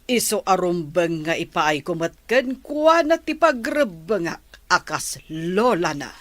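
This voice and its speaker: A woman voicing a caring but strict old grandmother in a radio drama, slow and scolding yet tender. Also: on the low side, sing-song, fairly bright tone, dry recording, full character voice. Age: older. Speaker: grandmother character. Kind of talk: Ilocano radio drama acting.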